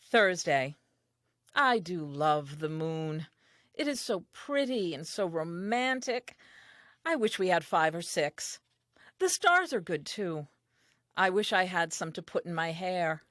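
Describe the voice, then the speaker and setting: Casting: actress for wide-eyed, curious first wife in a comic audio play; lively melodic delivery, earnest and dreamy, studio-dry sound. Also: female voice, lowish voice, slow, no room sound, heavily acted. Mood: dreamy delight. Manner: earnest, expressive, theatrical. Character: dreamy, curious, wonder-filled first woman Eve.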